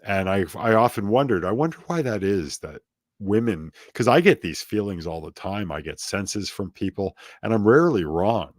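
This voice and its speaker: A middle-aged man with a very low voice talks as a guest in a casual interview. He drifts onto tangents and pokes fun at himself. His voice sounds close and dry, with no room in it. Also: darkish tone, no echo. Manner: rambling, self-deprecating, prone to tangents.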